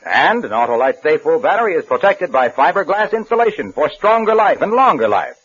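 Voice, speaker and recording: A man's voice: on the high side, big pitch swings, fairly quick, a bit bright, husky. A man working as a radio announcer, reading a sponsor's advertisement in 1950s broadcast style. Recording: muffled old radio broadcast recording.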